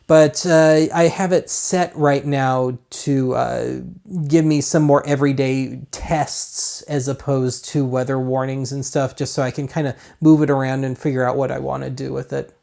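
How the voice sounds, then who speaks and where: A man's voice: mid-range voice, fairly even intonation, moderate pace, gravelly, warm tone. An American man hosting a casual gadget walkthrough in a rambling, colloquial, self-deprecating way. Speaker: host of a gadget review show.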